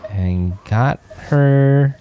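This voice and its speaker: A man's voice: mid-range voice, extremely slow, dull audio. A man livestreaming a video game, chatting casually and thinking aloud in a rambling way. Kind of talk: casual chat while playing a game.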